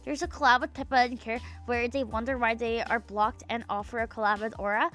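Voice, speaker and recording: Bright-voiced young woman rambling on stream. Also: fairly high pitch, fairly even intonation, fairly quick, faint music behind. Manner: rambling, profane, filler-heavy, trails off mid-sentence. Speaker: VTuber streamer. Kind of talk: casual stream chat, reading chat aloud.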